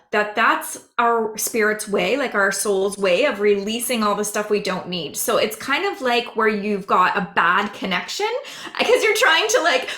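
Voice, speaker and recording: A woman psychic medium chatting on a podcast with a hoarse, raspy voice, explaining earnestly at length. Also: fairly high pitch, fairly bright tone, reverberant. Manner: rambling, earnest, drawn-out explaining. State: hoarse, losing her voice. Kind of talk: casual podcast chat.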